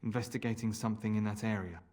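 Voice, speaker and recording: A man's voice: lowish voice, steady tone, quick pace, warm tone, close to an everyday voice. An actor playing a nervous, evasive research assistant in a fantasy video game, solemn and hesitant in his speech. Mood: reluctant, fearful. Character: nervous, evasive male impostor research assistant, gloomy, turning fanatical.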